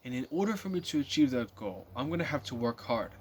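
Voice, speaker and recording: A man's voice: medium pitch, sing-song, fast, slight background noise. A nineteen-year-old man talking casually to his viewers in a rambling monologue, full of fillers and restarts. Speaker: vlogger.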